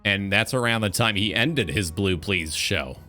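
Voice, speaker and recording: A man's voice: fairly low pitch, unhurried, sharp tone. A man hosting a casual livestream talk show, speaking in an earnest, reflective monologue with music playing behind him.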